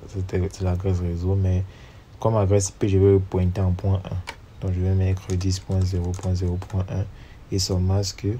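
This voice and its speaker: Male tutorial narrator with a cold, dark and monotone, faint hiss, keys clicking behind. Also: sits low, moderate pace. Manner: steps through commands aloud, spelling out numbers. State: has a cold. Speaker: networking instructor. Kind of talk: screen-recorded tutorial walkthrough.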